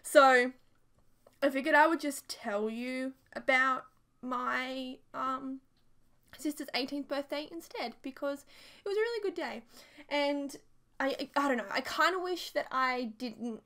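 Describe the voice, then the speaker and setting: A young Australian woman tells a rambling story in a relaxed chat, with lots of filler words and restarts. She has a cold and a sore throat. Her voice sits a little higher than most. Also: measured pace. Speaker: YouTuber narrating over a game build.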